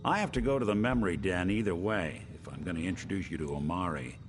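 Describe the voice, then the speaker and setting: Low-voiced, wry robot gumshoe played by a man. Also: fast, lightly acted. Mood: wry, thoughtful. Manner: wry, hard-boiled, folksy detective talk. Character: weary but kindly male robot detective, old-fashioned gumshoe. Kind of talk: video game voice acting. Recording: loud music behind the voice.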